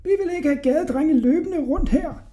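A man reading a storybook, voicing an angry housewife who shouts and scolds. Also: very high-pitched, voice pushed up. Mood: furious. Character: angry housewife shooing street boys away. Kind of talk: children's storybook narration.

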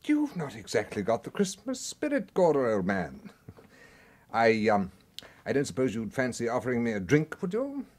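A British secret service civil servant in a radio play. His voice is mid-pitched and swoops around freely, with a genteel, chummy, hesitant manner that gently wheedles. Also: huge pitch swings, normal speed. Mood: wheedling, genteel. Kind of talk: radio drama acting, dialogue.

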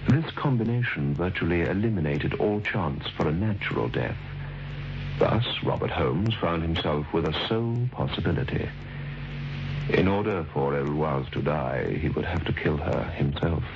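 An actor as a grave, formal storyteller, narrating an old radio drama in a deliberate, ominous manner. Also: male voice, very deep voice, lively intonation, vocal fry, over music, exaggerated voice. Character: grave, measured storyteller of a murder tale. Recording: muffled, noisy old radio recording.

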